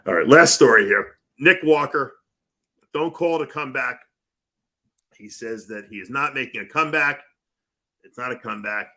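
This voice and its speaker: Bright, animated male radio host. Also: mid-range voice, moderate pace, pauses often. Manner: animated storyteller who acts out other people's voices. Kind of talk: casual talk radio banter.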